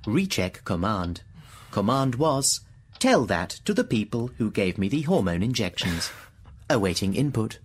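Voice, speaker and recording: A man's voice: fairly low pitch, big pitch swings, a little slow, breathy edge, full character voice. A man playing a formal, unemotional talking computer in a radio drama, speaking in precise, literal statements. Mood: formal, matter-of-fact. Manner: precise, clipped, literal delivery of data.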